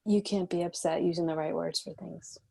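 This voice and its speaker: A guarded, resolute woman leading a resistance, played by an actress at a table read, speaks in a lowish voice. She is matter-of-fact and restrained, with grief held under the surface in an understated screen-drama style. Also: steady tone, brisk, gravelly, warm tone, breathy edge. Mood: somber, resolute.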